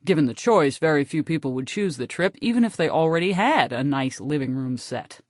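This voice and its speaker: A woman's voice: low-pitched, expressive, a little fast, a little mellow, no echo, studio quality. A woman voicing an opinionated, earnest man who theorizes dreamily in an audiobook. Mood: lecturing. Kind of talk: audiobook character reading.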